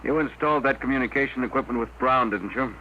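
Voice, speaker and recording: An actor in a 1950s radio drama playing a decisive, businesslike leader of a rocket project, with a commanding manner. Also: male voice, mid-range voice, quick pace, close to an everyday voice. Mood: concerned. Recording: muffled, noisy 1950 radio recording.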